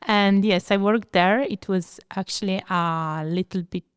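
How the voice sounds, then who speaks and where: Slovenian-speaking woman, clear voice. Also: medium pitch, steady tone, a little slow, dry recording. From Italy. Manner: searching for words in English, hesitant, laughing easily. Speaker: winemaker guest. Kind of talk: interview conversation.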